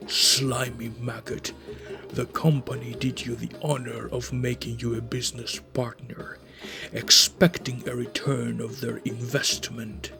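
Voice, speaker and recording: A man voicing a sadistic male orc enforcer who threatens slowly and gloatingly, sometimes dropping to a hissing whisper. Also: mid-range voice, level tone, creaky, music in the background. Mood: menacing.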